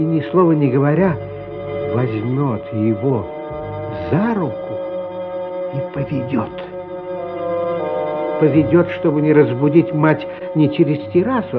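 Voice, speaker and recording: A man narrating a literary radio play as a solemn, compassionate storyteller, expressive and measured, with dramatic pauses. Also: fairly high pitch. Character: solemn, compassionate storyteller of a boy's inner life. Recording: slightly dull, lo-fi radio recording. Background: music.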